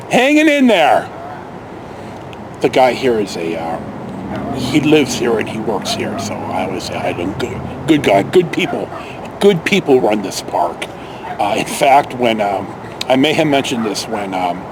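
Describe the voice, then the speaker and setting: An older American man with a high voice that swings widely in pitch tells stories casually and folksily, wandering off on asides. He is recorded outdoors, with faint ambient noise and vehicles behind him. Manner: folksy, digressive, mild swearing. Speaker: vlog host telling personal stories. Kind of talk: casual rambling storytelling. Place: outdoors in a park.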